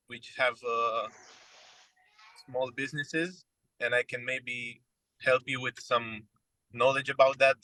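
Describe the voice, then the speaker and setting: Bright-voiced young man. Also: medium pitch, no room sound, low-quality recording. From Romania. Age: young adult. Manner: hesitant, many fillers. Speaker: photography student. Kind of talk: online webinar self-introduction.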